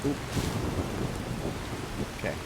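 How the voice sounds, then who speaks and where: A man giving casual let's play commentary as a gaming host, thinking aloud in an unhurried way. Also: heavy background noise. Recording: loud rain and thunder game audio behind. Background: game rain and thunderstorm ambience.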